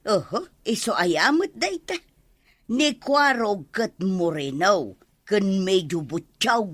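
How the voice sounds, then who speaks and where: An actress playing a blunt, scornful village gossip in a radio comedy drama, with an Ilocano accent. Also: female voice, medium pitch, expressive, slow pace, dry recording. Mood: gossipy.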